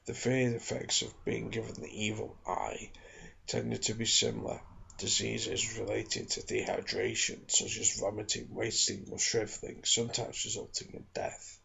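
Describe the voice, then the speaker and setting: A man reading aloud from a book in ASMR style, soft-spoken, hushed and measured, recorded in a small room at home. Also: on the low side, little pitch movement, gravelly, slightly rough, low-quality recording. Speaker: ASMR reader and channel host. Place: small home studio in a back bedroom.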